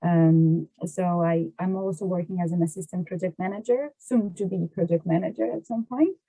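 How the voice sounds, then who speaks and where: A woman with a Brazilian Portuguese accent speaks in a very soft-edged, dark and slightly low voice, recorded dry and close. She explains things as an interview guest, pausing often to find her words. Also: dry recording, poor audio. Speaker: podcast guest, water resources engineer.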